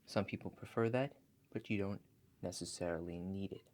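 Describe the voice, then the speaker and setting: A man playing a calm, attentive relaxation agent in a soft-spoken ASMR roleplay, talking slowly and gently, with reassuring instructions. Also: deep voice, lightly acted. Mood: calmly explaining. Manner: slow, gentle, reassuring, instructive, often checking in. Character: calm, attentive male house-call relaxation agent guiding breathing.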